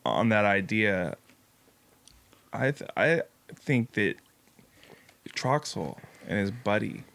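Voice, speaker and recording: A man's voice: on the low side, expressive, slow, gravelly, a bit bright. A man co-hosting a podcast in casual banter. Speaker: podcast co-host.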